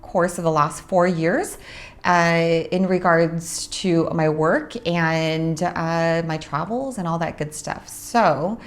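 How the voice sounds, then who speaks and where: A young adult woman from Orange County, California, hosting a casual monologue about her spiritual work, unhurried and reflective with frequent pauses. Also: on the low side. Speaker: host, spiritual practitioner.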